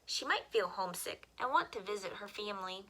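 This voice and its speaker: A woman reading picture books aloud to young children as a story time host, with expressive, simple phrasing. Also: medium pitch, brisk, low-quality recording.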